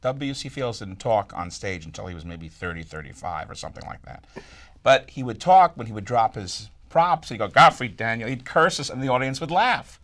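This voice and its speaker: Animated, joking man chatting. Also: mid-range voice, moderate pace. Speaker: vaudeville performer, interviewee. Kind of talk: casual group interview chat.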